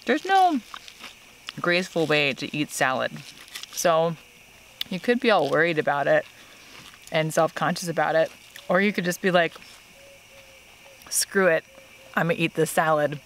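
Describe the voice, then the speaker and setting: A woman's voice: low voice, animated, a little slow, long pauses, fairly bright tone, slight background noise, rough recording. A woman in her thirties vlogging outdoors to a camera held at arm's length, chatty and self-deprecating, laughing easily. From not from California. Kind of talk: casual vlog talk to camera. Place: outdoors by a tree in a park.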